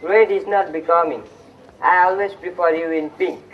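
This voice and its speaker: A petulant, proud young suitor in a school play, acted by a young man. His voice is a bit high, and the recording is dull and distant. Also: fairly even intonation, moderate pace, lightly acted. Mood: suspicious. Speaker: student actor. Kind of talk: amateur stage play acting. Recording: muffled, distant mic, noisy room.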